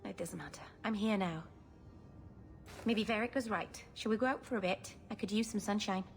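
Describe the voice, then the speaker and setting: A woman playing a gentle, lonely young elf in a video game, wistful and self-deprecating. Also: mid-range voice, normal speed, vocal fry, faint music behind, lightly acted. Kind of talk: video game cutscene acting. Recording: faint background noise.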